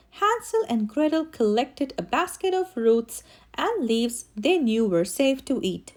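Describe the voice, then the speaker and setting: A woman reading a children's story aloud in an expressive way, with animated, dramatic emphasis. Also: high voice. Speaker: children's story reader and channel host.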